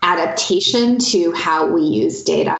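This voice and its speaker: A woman's voice: on the low side, a little slow, vocal fry, roomy sound, lo-fi sound. A woman speaking thoughtfully in an interview, with some restarts.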